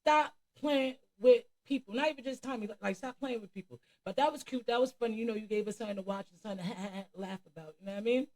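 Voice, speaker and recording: A woman's voice: fairly high pitch, fast, few pauses, a little mellow. A woman hosting a reaction channel, talking casually and emphatically to viewers in a streetwise, conversational way. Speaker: commentary channel host.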